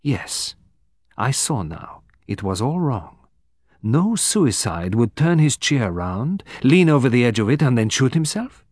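A man playing a composed, formal detective from abroad, speaking deliberately with dramatic emphasis in an audiobook. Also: medium pitch, big pitch swings, a little mellow, no room sound, studio quality, pitched above the performer's own voice. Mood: explaining, dramatic. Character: composed, precise foreign male detective, quietly theatrical.

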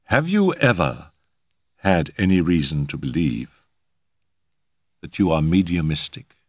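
A man voicing a calm, perceptive gentleman in a storybook reading, speaking quietly and deliberately. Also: low voice, no room sound, studio quality, full character voice.

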